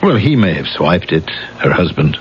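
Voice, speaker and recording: Troubled middle aged police inspector, low voice, haunted confession, old dull radio drama sound. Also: male voice, big pitch swings, moderate pace, a little hiss or hum. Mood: guarded, matter-of-fact. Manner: brooding, anguished. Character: troubled older New York police inspector, guilt-ridden unfaithful husband. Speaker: police inspector, narrator of his own story. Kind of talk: 1970s radio drama acting, confessional narration. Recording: dull vintage radio recording with loud music.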